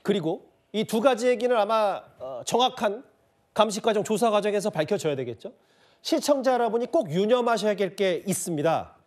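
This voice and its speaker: A man's voice: high-pitched, very animated, normal speed. A man anchoring a news discussion show, putting conversational, probing questions to a guest and addressing viewers. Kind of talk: live studio news discussion, questioning an expert.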